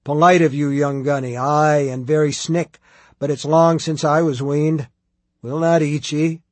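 Guy doing a big bossy hearty prioress woman, rolling booming voice, old-timey talk, storybook style, raised pitch. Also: fairly even intonation, measured pace, dry recording, lightly acted. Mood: wry, hospitable. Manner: hearty, commanding, archaic formal speech. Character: huge imperious good-humored prioress, rolling booming voice.

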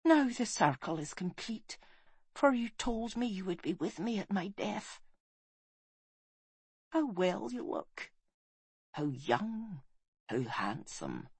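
A dying warrior queen, acted by a woman reader in a fantasy audiobook. Her voice is hushed, breathy and slow, halting as she speaks with solemn tenderness. She is weak but prophetic. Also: rumbling low, expressive, no echo, pitched below the performer's own voice, exaggerated voice. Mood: tender, fading.

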